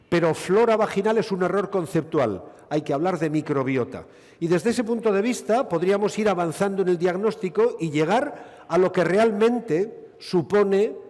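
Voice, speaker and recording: A man's voice: fairly high pitch, darkish tone. A middle-aged man the Basque Country, a gynecologist giving a witty, rhetorical conference lecture. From Basque Country, Spain. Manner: expansive, witty and rhetorical, with dry asides. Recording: lecture hall reverb.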